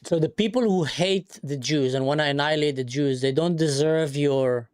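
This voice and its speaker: A man's voice: fairly high pitch, moderate pace, a little mellow, smooth, no room sound, clean studio sound. A man who grew up in the Middle East, hosting a live stream and arguing insistently with a caller. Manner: insistent, argumentative, talks over the other speaker. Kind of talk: heated debate on a live stream.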